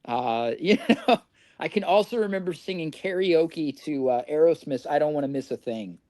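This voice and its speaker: A man's voice: on the high side, expressive, normal speed, mellow, no echo, studio quality. A middle-aged man from Indiana, a podcast guest telling his recovery story in a candid, rambling way and swearing freely.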